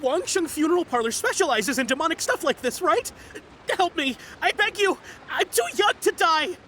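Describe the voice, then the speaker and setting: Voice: extremely high pitch, lively intonation, normal speed, fairly bright tone, slight background noise. Someone voicing a panicky, cursed young man. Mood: panicked. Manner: panicky, melodramatic, pleading. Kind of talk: game voice acting.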